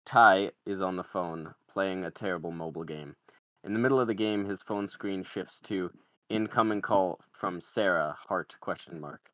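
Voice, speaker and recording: A man with a low, forceful, bright voice reads out screenplay stage directions. The recording is dry and close, and the delivery is scripted narration for a table read. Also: no echo.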